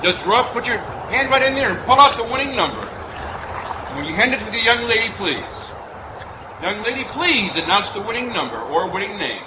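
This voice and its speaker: A man's voice: high-pitched, sing-song, moderate pace. A man hosting an old-time radio variety show with a showman's projected patter. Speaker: master of ceremonies. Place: outdoor night stage in woods, live audience. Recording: noisy outdoor stage, crowd.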